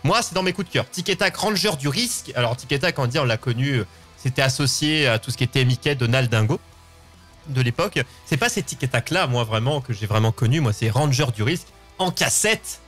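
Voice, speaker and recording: A man's voice: mid-range voice, animated, brisk, a bit bright. A man, hosting a casual live show and rambling, often repeating words. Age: 20s. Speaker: host of a live open-line show. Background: music.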